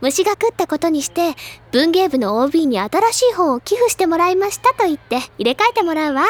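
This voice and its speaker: High-pitched, theatrical schoolgirl. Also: big pitch swings, fast talker. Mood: eager, persuasive. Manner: dramatic, declamatory, lecturing. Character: excitable, theatrical high-school literature-club girl, self-assured upperclassman. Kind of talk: anime-style audio drama acting.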